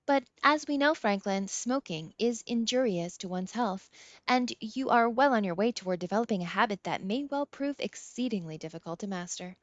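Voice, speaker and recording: A woman's voice: mid-range voice, animated, no echo, dull audio, pitched above the performer's own voice, lightly acted. A woman voicing a pudgy, stuffy male psychiatrist in an audiobook, formal and politely probing. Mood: formal lecturing. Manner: formal, measured, careful phrasing.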